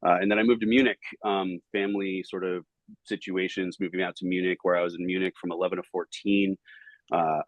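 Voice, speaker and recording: A man in his forties with a Southern Californian accent, answering interview questions in an energetic, rambling way full of fillers. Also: deep voice, fairly quick, dry recording, low-quality recording. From United States. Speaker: podcast guest, startup banking director.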